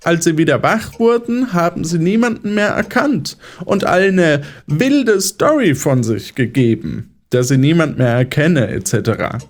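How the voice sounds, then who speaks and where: A high-pitched, very animated male psychiatrist acted by a man in an audio drama. He lectures his patient with condescending, controlling assurance, swinging widely in melody as he explains. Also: a little slow, few pauses. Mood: patronizing, soothing. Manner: condescending, didactic. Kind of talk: German audio drama acting.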